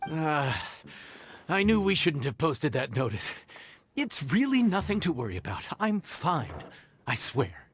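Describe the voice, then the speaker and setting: A man voicing a flustered, proud inn chef in a video game, hesitant, sheepish and defensive, with a husky voice. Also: on the high side, big pitch swings, a little slow, no room sound, full character voice. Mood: embarrassed. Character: flustered, proud male inn chef downplaying his injury. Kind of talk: animated game voice acting.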